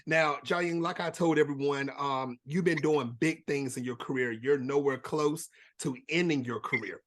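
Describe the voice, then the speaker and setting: A man's voice: a little high, normal speed, a bit bright. A man hosting a podcast interview, talking in a loose, slangy, hype-host way.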